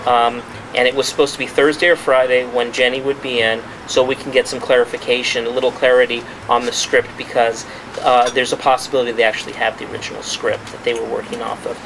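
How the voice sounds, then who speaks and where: A mid-pitched man talks through his findings at a press conference held in a restaurant. He is emphatic, often restarting and repeating himself as he argues. The background noise is clear under his voice. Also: level tone, fast. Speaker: journalist giving a press conference. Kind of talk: spontaneous press-conference talk.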